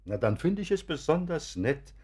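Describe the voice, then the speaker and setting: An actor playing a courteous, wry professor in a radio drama, chatty and gently teasing as he shows a visitor round. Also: on the high side, sing-song, normal speed, husky. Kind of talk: German radio drama acting.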